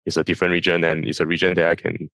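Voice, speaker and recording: A man's voice: deep voice, monotone, very fast, vocal fry, a bit bright, no echo. A man whose first language is Chinese, an esports coach from Southeast Asia, talking casually in an interview and often repeating words as he recounts things. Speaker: esports coach, podcast guest.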